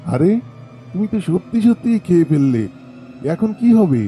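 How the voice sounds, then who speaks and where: A man voicing an eager, easily amazed old village spectator in an animated story, full of exclamations. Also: sits high, normal speed, soft background music. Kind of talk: animated horror story dialogue.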